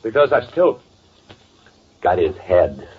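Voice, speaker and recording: A man's voice: deep voice, very animated, slow, slightly rough, heavily acted. An actor playing a frantic, guilt-ridden man posing as his dead twin, stammering and pleading in old-time radio drama style. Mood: panicked pleading. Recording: tinny, noisy old radio recording.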